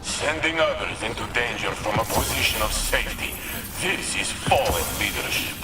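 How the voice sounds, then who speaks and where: An actor playing a gruff, proud and distrustful military commander with clipped, wry orders in a video game. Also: male voice, on the low side, expressive, moderate pace, close to an everyday voice. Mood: scornful. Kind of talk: video game mission dialogue. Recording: loud music behind the voice. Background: loud game score.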